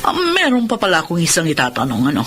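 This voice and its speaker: A woman's voice: deep voice, huge pitch swings, quick pace, fairly bright tone, a little hiss or hum, full character voice. A woman playing a kindly, authoritative married lady lawyer in an audio drama, reassuring and inquisitive, motherly but firm. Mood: firm, earnest. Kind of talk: Tagalog radio-style drama acting.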